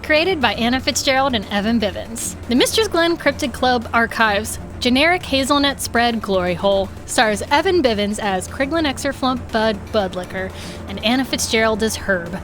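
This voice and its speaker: A woman's voice: on the high side, lively intonation, moderate pace. A woman reading scripted show credits as an announcer. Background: music.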